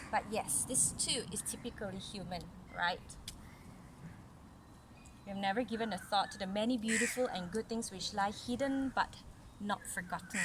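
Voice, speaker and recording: A woman reads a story aloud as a mother to a young child, adding gentle conversational asides. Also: mid-range voice. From Malaysia. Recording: noisy recording with background sounds. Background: ambient noise, young child nearby.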